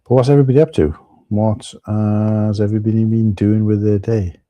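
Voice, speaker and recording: A middle-aged man talks through a live stream in a husky, low, fairly flat voice. His tone is very dark and mellow, and the sound is dry and close. He rambles casually, with plenty of fillers and restarts. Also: a little fast, no room sound. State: husky from tiredness.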